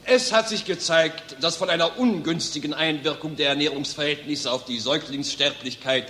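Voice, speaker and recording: An actor playing a self-assured, smug medical professor, lecturing formally in an old German radio play. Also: male voice, a little high, normal speed, pushed and bright. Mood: stiff, assured. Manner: formal, lecturing, stiffly assertive. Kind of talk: mid-20th-century German radio play acting. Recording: old radio recording with faint noise.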